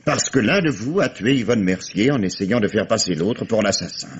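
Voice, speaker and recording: Low husky old-radio police chief, calm and dry, dull lo-fi sound. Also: male voice, slight background noise. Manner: measured, dry ironic authority. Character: shrewd calm male police commissioner. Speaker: police commissioner. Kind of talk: 1950s French radio drama acting.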